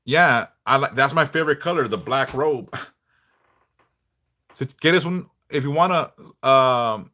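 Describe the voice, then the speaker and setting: A middle-aged man a big bass voice, chatting bluntly and passionately on a livestream, swearing freely. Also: measured pace, pauses often, bright, forceful tone. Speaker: livestream host, devotional teacher. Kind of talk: casual livestream chat.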